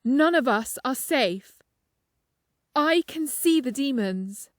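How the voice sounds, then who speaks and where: A woman voicing a terrified, paranoid man who urgently shouts and repeats his warnings in an audiobook story. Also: high voice, animated, slow, no room sound, studio quality, pitched above the performer's own voice. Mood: panicked, pleading.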